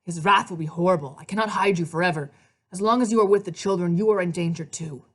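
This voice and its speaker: A woman's voice: on the low side, fast talker, close to an everyday voice. A woman storyteller voicing a brooding, agitated male god of the underworld who pleads urgently. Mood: anxious, pleading.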